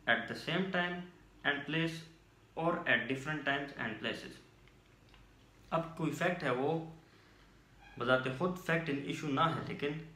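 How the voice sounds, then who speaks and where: A man with a Pakistani accent teaches in a roomy, echoing space. His voice is bright and forceful at a medium pitch. He speaks without hurry, methodically reading formal text and explaining it as a lecturer would. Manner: methodical, switches between English and Urdu. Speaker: law lecturer and channel host.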